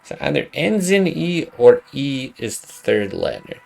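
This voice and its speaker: A man's voice: medium pitch, sing-song, measured pace, creaky, faint music behind. A young man streaming a card game, chatting casually and thinking aloud, swearing under his breath now and then. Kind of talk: casual gameplay commentary.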